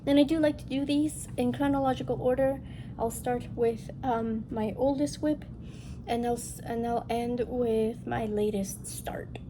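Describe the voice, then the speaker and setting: A woman's voice: a little high, fairly even intonation, unhurried. A woman with a congested, nasal voice from a lingering illness, chatting casually and a little ramblingly to viewers as she hosts a craft show-and-tell video. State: getting over a respiratory illness. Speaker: host of a cross-stitch video channel. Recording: noticeable background noise.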